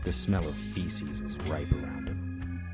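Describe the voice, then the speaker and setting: An actor playing a wisecracking, world-weary occult investigator, narrating and trading lines in a noir-style audio drama. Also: male voice, a bass voice, fairly even intonation, unhurried, music in the background. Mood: wry narration. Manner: sardonic, flippant.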